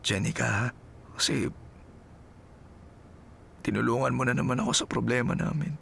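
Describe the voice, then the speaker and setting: An actor with a Filipino accent playing a grateful, troubled husband of a sick wife in a radio drama. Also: male voice, mid-range voice, vocal fry, fairly bright tone, a little hiss or hum.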